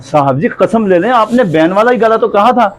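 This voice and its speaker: Poor honest tailor, male actor, high voice, humble and pleading, moral drama. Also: lively intonation, normal speed. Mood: defensive. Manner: deferential, pleading. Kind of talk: moral story drama acting.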